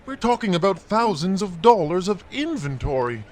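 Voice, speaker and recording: An actor playing a fussy, self-important toy store manager in an audio drama. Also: male voice, high voice, sing-song, moderate pace, darkish tone, slight background noise. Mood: worried, reluctant.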